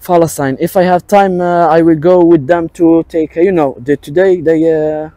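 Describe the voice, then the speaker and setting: A young man from Algeria, an interviewee, answers outdoors in halting English and searches for words. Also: on the high side, steady tone, dark tone, dry recording. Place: outdoors on a campus.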